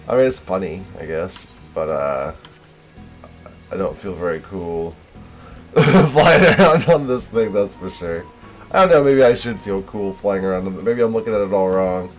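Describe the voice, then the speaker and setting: A man's voice: sits low, normal speed, soft background music. A man doing casual livestream gaming commentary, rambling and sweary with a self-deprecating manner. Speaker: game livestreamer.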